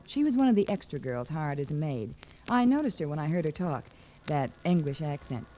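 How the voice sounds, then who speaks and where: Low, mellow-voiced flirtatious socialite. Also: female voice, sing-song, a little fast. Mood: curious, earnest. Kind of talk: 1940s radio drama acting. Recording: noisy vintage 1940s radio recording.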